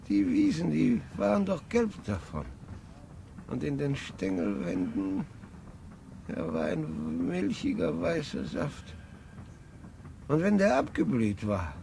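A man's voice: a little high, gravelly, noisy background, exaggerated voice. An actor playing a very old grandfather who fondly remembers a lost world, in an early 1950s radio play. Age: older. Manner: wistful, earnest storytelling. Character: very old grandfather, nostalgic, defiant dreamer. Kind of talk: 1950s radio drama acting.

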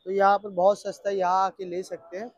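A man with a high voice and a Mumbai Hindi accent gives casual vlog commentary. He talks informally to viewers and repeats himself often. The phone recording sounds a little dull. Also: little pitch movement, fairly quick, dry recording. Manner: repetitive, informal, addressing viewers as brother. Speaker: vlogger touring a wholesale fruit market. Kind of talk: casual vlog commentary, asking vendors prices. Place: large covered wholesale fruit market. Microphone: handheld phone.

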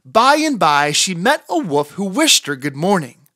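A woman reading a children's storybook aloud in an expressive narrating style. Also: lowish voice, measured pace, no room sound, clean studio sound.

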